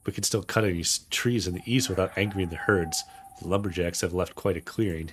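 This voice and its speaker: A man's voice: low-pitched, steady tone, fast, slight background noise, natural voice. A man voicing a cautious, stubborn young woman hunter in a visual novel, plain and defensive in manner. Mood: thoughtful. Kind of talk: visual novel character read aloud.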